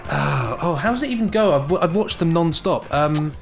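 A man co-hosting a podcast in casual chat, jokey and excitable, often searching for his words. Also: on the high side, normal speed, gravelly, faint music behind. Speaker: podcast co-host.

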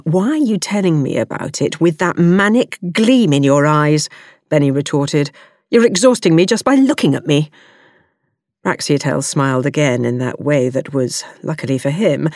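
A woman's voice: deep voice, big pitch swings, normal speed, a little mellow, dry recording, studio quality. A woman reading a novel aloud as an audiobook, voicing the characters' lines with expression. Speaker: audiobook reader.

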